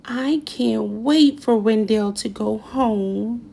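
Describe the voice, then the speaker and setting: A woman voicing a quietly fed-up young girl in a storybook, plaintive and understated. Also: on the high side, takes it slowly, gravelly, slight background noise.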